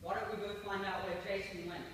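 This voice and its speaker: Worried, practical young male sidekick, teenage boy acting, high voice, amateur radio play, far off in a hall. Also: level tone, a little fast, fairly bright tone. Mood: curious. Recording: distant mic, very echoey hall, faint noise.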